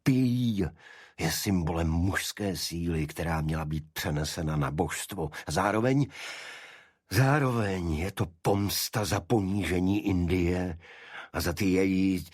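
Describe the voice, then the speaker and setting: A man voicing a fanatical, vengeful English gentleman in an audiobook, with impassioned, indignant delivery. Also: low-pitched, no echo, clean studio sound. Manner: impassioned, indignant, halting repetitions.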